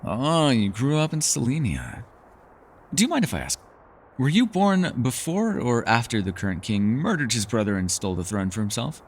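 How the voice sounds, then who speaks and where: A man voicing a reclusive, centuries-old elf in a fantasy ASMR roleplay, gentle, wry and secretive, with measured formal phrasing. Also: medium pitch, big pitch swings, a little hiss or hum. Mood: grave, confiding. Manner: measured, formal phrasing, hesitant and softly teasing. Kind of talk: fantasy ASMR roleplay acting, intimate one-sided dialogue.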